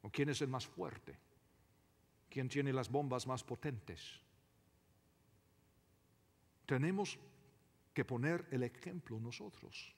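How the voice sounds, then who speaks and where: A man preaching a sermon as a pastor, emphatic and deliberate, often repeating his phrases. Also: medium pitch, gravelly, darkish tone, breathy edge. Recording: reverberant hall with long echo.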